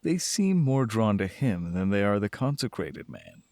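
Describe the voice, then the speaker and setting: A man's voice: on the low side, huge pitch swings, unhurried, vocal fry, darkish tone, no echo, clean studio sound. A man narrating a scripted fantasy audio drama as storyteller.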